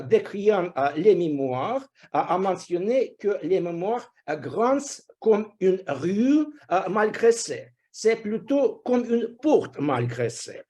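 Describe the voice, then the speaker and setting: A high-voiced man with a foreign accent gives a prepared evaluation. He is not a native speaker and talks slowly and haltingly, filling the gaps with hesitation sounds. His voice is dark and soft-edged. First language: not French. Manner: halting, hesitant delivery with constant filler sounds. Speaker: grammarian giving a report at a speaking club.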